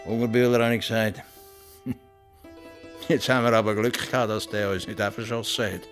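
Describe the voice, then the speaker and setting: An actor in a radio play voicing a plain-spoken, stubborn old farmer with a Swiss German accent and rustic dialect turns. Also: male voice, lowish voice, steady tone, a little fast, a bit bright, faint music behind, lightly acted. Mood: chatty, satisfied. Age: older.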